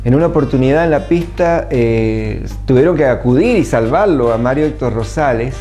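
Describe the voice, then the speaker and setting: An older man recalls the past in an interview, pausing hesitantly between phrases. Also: medium pitch, animated, dark tone, faint music behind. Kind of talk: reminiscing interview talk.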